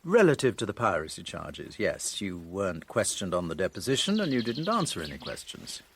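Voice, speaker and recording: An actor in a radio drama playing a composed, skeptical interrogator, speaking in a deliberate, dry and pointed way. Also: male voice, sits low, wildly swooping pitch, slight background noise, full character voice. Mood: probing. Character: probing, skeptical, composed male interrogator and narrator.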